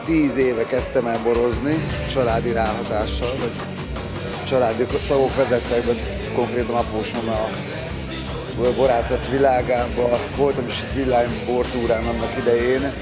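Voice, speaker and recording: A man's voice: mid-range voice, fairly even intonation. A middle-aged man giving casual interview answers in a modest way, often correcting himself as he goes. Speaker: band musician, interviewee. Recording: loud music behind, outdoor festival. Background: loud music, vehicle noise.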